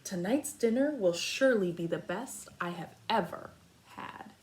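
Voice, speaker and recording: A woman's voice: medium pitch, lively intonation, unhurried, darkish tone, slight background noise, close to an everyday voice, rough recording. A woman voicing a kindly, generous older woman cook in a children's storybook, with an exclaiming, savoring delivery. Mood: delighted.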